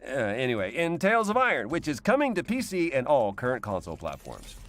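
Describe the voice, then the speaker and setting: A man's voice: medium pitch, huge pitch swings, a little fast, fairly bright tone. A man hosting a games showcase with scripted presenter links. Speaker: showcase host.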